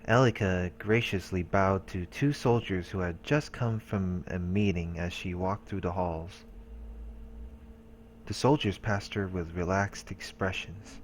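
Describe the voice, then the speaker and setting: A man's voice: low voice, measured pace, a little hiss or hum. A man hosting a let's play, casually reading a visual novel's story text aloud. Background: game music.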